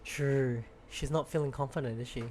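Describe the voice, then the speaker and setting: A man's voice: mid-range voice, steady tone, normal speed, darkish tone, a little hiss or hum. A man doing casual gameplay commentary, thinking aloud with brief reactions. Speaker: game streamer. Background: game music.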